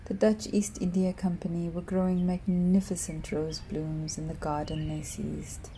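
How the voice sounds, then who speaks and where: A woman reads in a slow, hushed, poetic voice with a very dark, mellow tone. Her pitch sits fairly low and barely moves, and now and then she slips into a whisper. A faint hiss runs under the recording. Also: little pitch movement. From South Africa. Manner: soft, hushed, drifting into whispers. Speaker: narrator.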